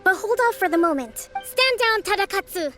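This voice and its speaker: An actress playing a composed young island leader in a video game, speaking formally with measured, gentle firmness. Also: female voice, extremely high pitch, animated. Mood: commanding, composed. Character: young female island leader, composed, polite, firm diplomat. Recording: soft music under the voice.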